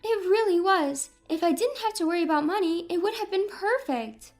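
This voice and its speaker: A woman's voice: very high voice, animated, normal speed, soft background music, voice pushed up. A woman voicing a cheerful, candid young adult granddaughter in an audio story, open and chatty. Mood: bright, candid. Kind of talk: acted dialogue in an audio story.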